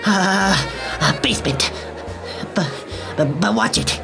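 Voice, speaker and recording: A woman's voice: mid-range voice, expressive, takes it slowly, creaky, over music. A woman playing a furtive, nervous jazz club informant who speaks hesitantly in a noir radio play. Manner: hesitant, stammering, warning.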